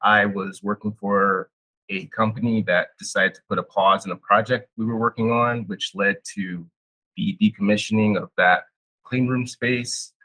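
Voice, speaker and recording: A man speaking as a panelist in a halting way, often repeating words as he thinks. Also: on the low side, fairly even intonation, sharp tone, low-quality recording. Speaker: panelist, clean room engineer. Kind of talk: casual panel talk.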